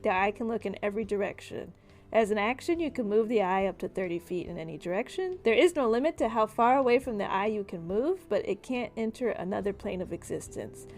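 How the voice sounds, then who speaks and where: A woman's voice: medium pitch, animated, brisk, a little mellow. A woman acting as game master, casually narrating a tabletop game and explaining its rules. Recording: music behind the voice. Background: background music.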